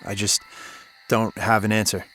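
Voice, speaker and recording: An actor playing a broken-down, haunted radio DJ in a horror audio drama, hesitant and defensive. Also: male voice, on the low side, level tone, normal speed, a bit bright, soft background music. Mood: guarded, defensive. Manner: hesitant, guarded.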